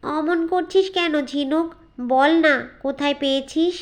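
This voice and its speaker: Woman putting on a high, nagging, envious young stepsister. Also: fairly even intonation, measured pace. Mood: coaxing. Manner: nagging, coaxing. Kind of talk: dramatic cartoon fairy tale acting.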